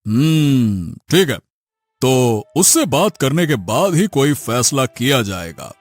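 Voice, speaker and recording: A man's voice: mid-range voice, big pitch swings, takes it slowly, dry recording, soft background music. A man playing an authoritative village headman judging a dispute in an audio moral story. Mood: measured, questioning.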